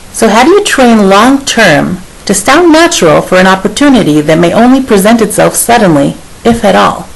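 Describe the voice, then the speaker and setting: Low gravelly-voiced woman with faint hiss. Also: rough recording. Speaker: speech and dialect coach. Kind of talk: scripted narration.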